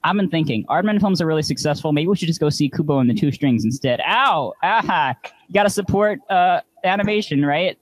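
Man voicing a high, fussy, flustered boy. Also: animated, brisk, dry recording, close to an everyday voice. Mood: earnest, nitpicking. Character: anxious, fussy twelve-year-old boy, easily flustered. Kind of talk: improvised comedic cartoon fan dub.

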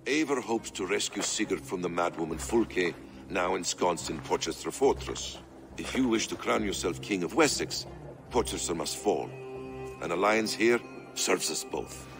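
An actor voicing a middle-aged assassin, a cautious advisor with a measured manner, in a video game. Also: male voice, sits low, expressive, creaky, music in the background. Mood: grave, cautious. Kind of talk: video game cutscene acting.

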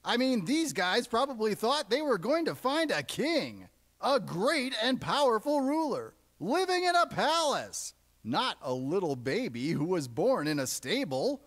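A man's voice: high voice, very animated, unhurried, a bit bright. A man playing an upbeat radio DJ puppet, preaching to children in an emphatic scripted style. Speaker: radio DJ character in puppet show.

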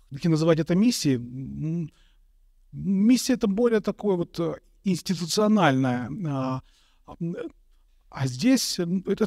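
Soft-spoken monk, slow and thoughtful. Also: male voice, a little high, no echo, studio quality. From Kazakhstan. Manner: slow and thoughtful, many pauses and hesitations. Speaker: Orthodox monk and priest. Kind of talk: calm reflective interview answers. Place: bright indoor room, interview set. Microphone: studio mic on a boom in front of him.

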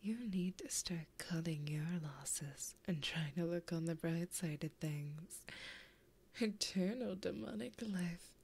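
A woman voicing a flirty, possessive, taunting female demon in an ASMR roleplay, breathy and often whispering in a teasing, intimate way, close to a binaural mic. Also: lowish voice, takes it slowly, a little mellow, exaggerated voice. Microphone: close binaural microphone.

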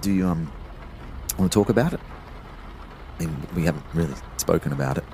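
A reflective young boyfriend played by a man in an audio drama, with a low voice. He tells his story in a measured, understated way and sounds concerned for others. Faint noise sits behind him. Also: warm tone, rough recording. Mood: concerned, hesitant. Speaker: narrator and lead character. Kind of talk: first-person audio drama narration and dialogue.

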